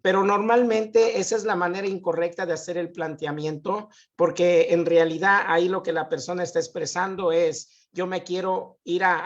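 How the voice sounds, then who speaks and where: A man's voice: high voice, muffled recording. A man, a guest expert on immigration, methodically explaining legal questions on a live show.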